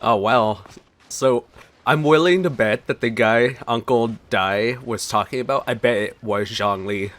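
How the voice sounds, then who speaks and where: A man's voice: mid-range voice, measured pace, fairly bright tone. A man giving casual, conversational let's play commentary on a video game.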